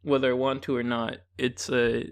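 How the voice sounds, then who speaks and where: A man's voice: mid-range voice, level tone, normal speed, clean tone. A young man hosting a casual comedy podcast, bantering with profane, joking exaggeration. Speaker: podcast host.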